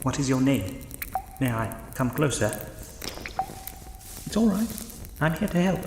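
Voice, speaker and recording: An echoing, cavernous space with loud noise surrounds an old priest. He is pious and compassionate, pleading earnestly and solemnly in horror audio drama style, with alarm under his words. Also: mid-range voice, very animated, a little slow. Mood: gentle, coaxing. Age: older. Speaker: actor playing a priest. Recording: echoey cavernous reverb, loud background noise.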